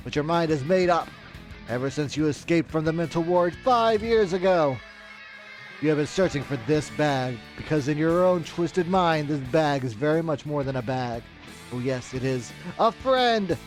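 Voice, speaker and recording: A grandiose game villain as put on by a male streamer, fairly high-voiced, stretching words for menace. The delivery is theatrical and melodramatic, with music behind it. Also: lively intonation, natural voice. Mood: mock grandeur. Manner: drawn-out, melodramatic. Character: grandiose, menacing male contest master reading his decree. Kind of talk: theatrical read-aloud of game ending text.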